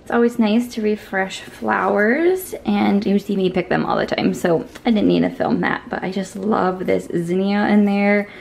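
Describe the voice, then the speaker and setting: A woman in her thirties chatting casually to a handheld camera in her home kitchen, relaxed and thinking aloud. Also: mid-range voice, moderate pace, gravelly, hardly any pauses, slight background noise. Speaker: homemaking vlogger. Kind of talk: casual vlog chat to camera. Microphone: handheld camera at arm's length. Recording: echoey kitchen with faint music.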